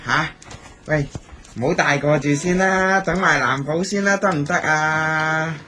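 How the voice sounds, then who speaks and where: A man's voice: a little high, measured pace, sharp tone. An actor playing a pleading young man in an audio drama, with a Hong Kong Cantonese accent. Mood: pleading, nagging.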